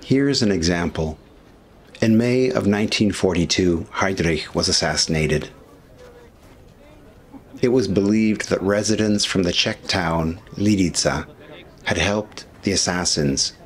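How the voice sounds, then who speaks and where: A man reading scripted documentary narration with commentary in a measured, formal way. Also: low-pitched. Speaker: history video narrator.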